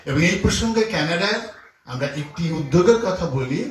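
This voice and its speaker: A man's voice: sits high, lively intonation, a little fast. A man giving a talk to an audience as an event speaker. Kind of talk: addressing an audience. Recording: very echoey room.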